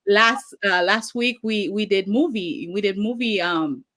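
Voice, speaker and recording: A middle-aged woman with a Haitian accent talks with earnest passion, circling back to repeat her point. Her pitch sits in a typical middle range for a woman. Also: a little slow, a bit bright. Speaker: community advocate, guest. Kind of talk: casual conversation.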